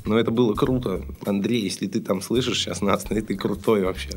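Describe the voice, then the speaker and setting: Low-voiced male musician telling stories. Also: fairly even intonation, a little fast. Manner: easygoing storyteller, chuckles often. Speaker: guest musician. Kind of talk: casual chat, anecdotes.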